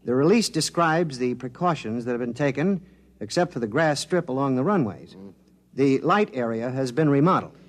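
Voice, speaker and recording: A man's voice: medium pitch, lively intonation, moderate pace, natural voice. An actor playing an affable, evasive scientist and spokesman in a 1950s radio drama, explaining things conversationally.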